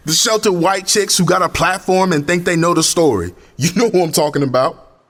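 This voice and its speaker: An actor playing an intense, embittered young community activist in an audio drama, impassioned and slangy. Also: male voice, sits high, moderate pace, a bit bright, long reverb tail. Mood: bitter, militant.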